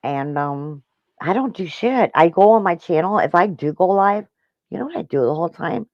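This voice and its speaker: A woman's voice: fairly low pitch, animated, normal speed, no echo. A woman from the American Midwest, chatting casually on a stream, nasal from a cold, blunt and swearing freely. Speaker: guest on a live stream. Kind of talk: casual chat. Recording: dull lo-fi stream audio.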